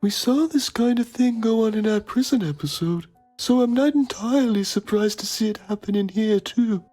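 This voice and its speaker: Guy doing a tv journo in a game, solemn and self-important, raspy-soft voice, music under him. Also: high voice, normal speed. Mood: grave, pompous. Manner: solemn, melodramatic. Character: pompous male investigative TV documentary journalist. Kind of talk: mock-documentary scripted narration.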